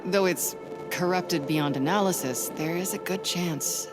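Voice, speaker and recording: A woman's voice: lowish voice, measured pace, music in the background, close to an everyday voice. An actress playing a composed, resolute female spy in a video game, speaking in a cool, matter-of-fact way. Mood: focused, resolute. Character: composed, resolute female spy, warm toward her old lover. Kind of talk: cinematic video game acting.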